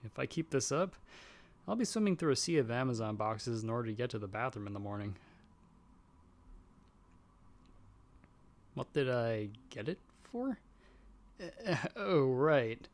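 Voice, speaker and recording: A man's voice: medium pitch, big pitch swings, normal speed. A man playing a nervous, friendly young guy in an audio roleplay, talking in a casual, hesitant, self-deprecating way. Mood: sheepish, hesitant. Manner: chatty, hesitant, sheepish. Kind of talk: casual one-sided roleplay dialogue.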